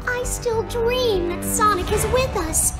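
A woman's voice: extremely high pitch, slow. A woman voicing a sweet, hopeful young female hedgehog with a wistful manner in a video game. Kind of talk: animated game voice acting. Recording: game music behind the voice.

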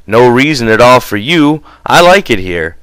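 A gruff, bossy itinerant farmhand acted by a male reader from a storybook. He is brusque and short-tempered, giving orders in a clear, dry voice. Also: medium pitch, sing-song, moderate pace, a bit bright, no room sound, pitched above the performer's own voice, rough recording. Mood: curt, bossy. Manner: brusque, commanding.

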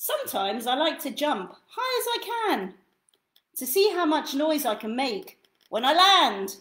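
A young woman reading picture books aloud to children in an expressive, theatrical way, drawing out key words. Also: high voice. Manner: theatrical, deliberate, drawn-out delivery of key words. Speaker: children's storytime reader. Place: small indoor room at home.

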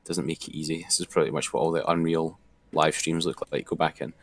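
Deep-voiced man giving a software tutorial. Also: steady tone, brisk, vocal fry. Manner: hesitant, thinking aloud. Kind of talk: informal step-by-step screen tutorial.

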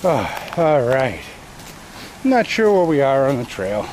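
A man's voice: mid-range voice, very animated, darkish tone. A middle-aged man rambling to a handheld camera as he walks a wooded trail, commenting on the hike. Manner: slow, rambling commentary to the camera while walking. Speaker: hiking vlogger. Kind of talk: casual hiking vlog narration. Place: wooded trail outdoors by a stream. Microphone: handheld action camera at arm's length. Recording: noisy outdoor camera audio.

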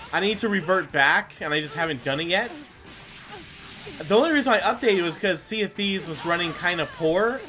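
A man with a fairly high, bright and forceful voice talks casually over a video game. He rambles along in a self-deprecating way with some mild swearing, and music plays behind him. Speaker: gaming video host. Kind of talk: casual gameplay commentary.

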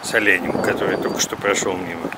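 A man's voice: low voice, little pitch movement, quick pace. A man casually narrating a fishing outing as he films it outdoors, adding wry remarks. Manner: wry, commenting on what he films. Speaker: person filming a fishing trip. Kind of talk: casual narration while filming. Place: outdoors by a river. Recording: outdoor recording with loud stream noise.

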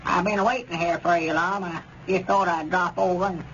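A querulous old Southern country fellow in a vintage radio comedy. His folksy voice sits high, and the recording is muffled with steady noise. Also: moderate pace. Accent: rural Southern American. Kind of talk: 1930s radio comedy acting.

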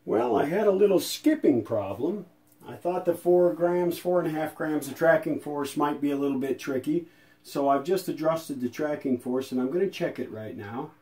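Mellow-voiced man giving a folksy demo. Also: fairly high pitch, lively intonation, moderate pace. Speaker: video host and radio restorer. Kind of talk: casual hands-on demo narration.

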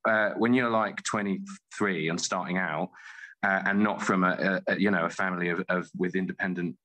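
A man in his forties talking casually as an interview guest, halting and often interrupting himself. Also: low voice, steady tone, bright, forceful tone, poor audio. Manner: halting, self-interrupting, informal. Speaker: guest, playwright.

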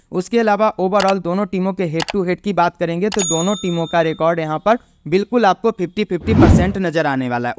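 A man presenting an explanatory cricket pitch report as a voice-over. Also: smooth, dry recording.

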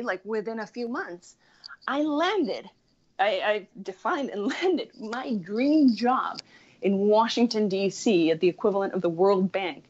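A woman in her thirties from Chile, a podcast guest chatting casually and animatedly, with frequent "like" fillers and quick laughs. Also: mid-range voice, muffled recording. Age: 30s. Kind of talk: casual interview chat.

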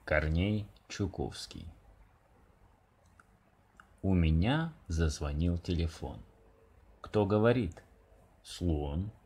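A man with a low, mellow and rather husky voice reads a children's verse tale. He takes his time, and his pitch moves around in a very lively way, as befits an expressive storybook narrator. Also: slow, long pauses.

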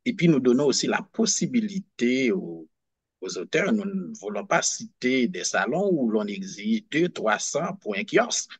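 A man speaking on a video call, a guest in an interview, explaining at a deliberate pace and repeating words as he searches for them. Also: lowish voice, sing-song, slightly rough, no room sound. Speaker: guest, book fair director. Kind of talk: conversational interview answer.

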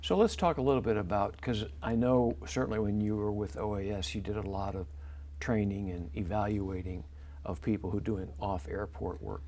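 Older guy hosting, kinda gravelly and low, asks questions slow and friendly. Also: fairly even intonation, darkish tone, slight background noise. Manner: friendly, measured questioning with fillers. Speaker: host. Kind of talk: TV interview hosting. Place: small TV studio. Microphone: lapel mic.

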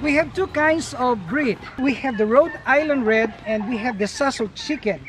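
A man's voice: very high-pitched, normal speed, music in the background. A middle-aged man, a farm vlogger, giving an upbeat casual tour of his outdoor farmyard and coop while explaining to viewers.

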